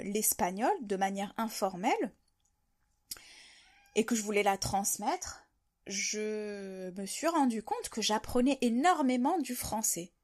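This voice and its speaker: A woman talking to camera in an unscripted monologue, reflecting on her ideas and rephrasing them as she goes. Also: on the high side. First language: French. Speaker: video host sharing language-learning reflections.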